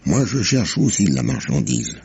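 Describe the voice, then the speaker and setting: An actor playing a very old, sly sailor in a French radio mystery drama, with a husky, wheezy voice and a halting storyteller's delivery. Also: male voice, low-pitched, big pitch swings, mellow, a little hiss or hum, exaggerated voice. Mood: animated, conspiratorial. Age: 80s. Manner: halting, chuckling, salty storyteller with hums and asides.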